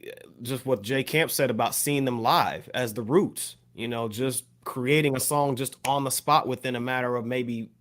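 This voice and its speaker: Mid-pitched man in casual hip hop talk. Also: moderate pace, a bit bright. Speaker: podcast co-host.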